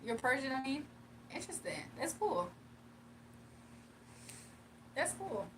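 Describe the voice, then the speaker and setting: A young woman with a fairly high voice chats loosely with her live viewers and laughs easily. She sits a little away from the mic, and faint room noise runs under her voice. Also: very animated, takes it slowly, distant mic, rough recording. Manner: chatty, laughs often, reads chat aloud. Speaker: livestream tarot reader. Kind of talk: casual live chat and tarot reading.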